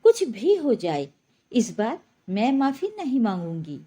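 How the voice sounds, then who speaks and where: A woman's voice: on the high side, sing-song, measured pace, dark tone. A woman voicing an indignant young daughter-in-law who is firm and defiant, in a spoken story. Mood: angry, hurt.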